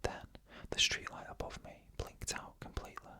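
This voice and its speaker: A narrator reading creepy first-person stories in a soft, unvoiced ASMR whisper with slow, measured phrasing. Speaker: ASMR storyteller.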